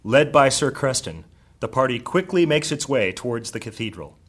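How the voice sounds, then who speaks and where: Male narrator, medium pitch, scripted fantasy storytelling. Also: sing-song, normal speed, fairly bright tone, slightly rough.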